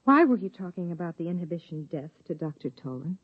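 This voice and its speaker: An actress playing a wary, observant wife and biologist, narrating in measured 1950s radio drama style, on a dull old broadcast recording. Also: female voice, on the low side, big pitch swings, slightly rough. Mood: tense. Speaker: narrator and lead character.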